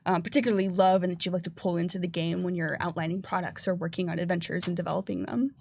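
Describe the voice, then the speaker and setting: A woman's voice: lowish voice, level tone, quick pace, gravelly, darkish tone, no room sound, clean studio sound. A woman, chatty and enthusiastic, hosting a casual panel discussion. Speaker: host of a game developers' livestream.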